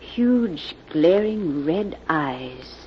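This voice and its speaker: An actress playing a wealthy older widowed aunt, genteel and emphatic, in a 1940s radio drama. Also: female voice, low voice, expressive, very slow, exaggerated voice. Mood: uneasy. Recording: muffled old radio recording with hiss.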